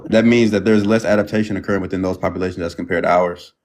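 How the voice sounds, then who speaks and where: A man debating calmly and precisely in a lecturing manner. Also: deep voice, very fast, a little hiss or hum. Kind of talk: heated online debate.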